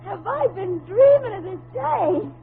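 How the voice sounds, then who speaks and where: High-voiced factory girl, old radio. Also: lively intonation, a little slow, exaggerated voice. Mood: eager, relishing. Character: harried, plain-spoken young working woman in a factory. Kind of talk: old-time radio drama acting. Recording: phone-like vintage radio recording, faint noise.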